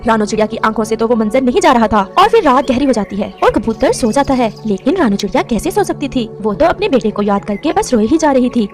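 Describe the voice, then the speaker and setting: A woman narrates a scripted cartoon tale in a high voice. Her delivery is fast and dramatic, with music playing softly behind her. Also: animated. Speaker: story narrator.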